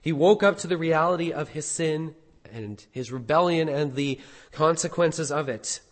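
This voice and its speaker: A hoarse man preaching a sermon as pastor, animated, with rhetorical questions. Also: fairly high pitch, normal speed, in a large hall.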